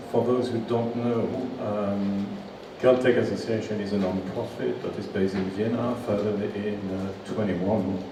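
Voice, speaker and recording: A man answering at a press conference as an executive, halting and full of fillers. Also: fairly low pitch. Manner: halting, many fillers and restarts. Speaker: nonprofit executive. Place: large historic hall. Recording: echoey hall, distant mic, background noise.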